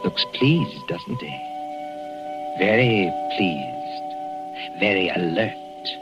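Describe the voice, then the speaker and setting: A man narrating a 1940s radio mystery in a measured, ominous storytelling manner. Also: low-pitched, lively intonation, exaggerated voice. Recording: lo-fi vintage radio recording with music behind.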